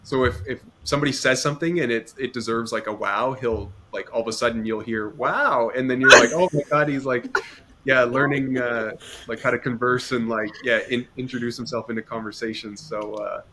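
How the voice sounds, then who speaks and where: A father chatting in a company roundtable, slow and hesitant with many fillers and a dry sense of humor. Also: mid-range voice, background noise. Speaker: employee on a company podcast roundtable. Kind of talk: casual chat.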